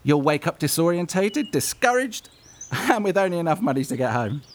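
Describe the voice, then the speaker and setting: Man acting a high-voiced, animated, sly trickster guide. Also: moderate pace. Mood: gleefully smug. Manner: chatty, glib, theatrical. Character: cheerful, sly male trickster guide with conjuring magic. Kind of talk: comedic fantasy audio drama acting.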